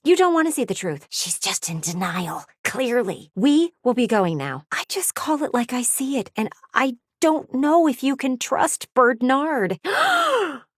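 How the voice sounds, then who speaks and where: Theatrical, haughty, swooping game heroine. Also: female voice, sits high, sing-song, a little slow, hardly any pauses, husky, dry recording, clean studio sound, heavily acted. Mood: indignant, offended. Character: vain, blunt, gossipy living window, flirty woman. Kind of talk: video game character voice acting.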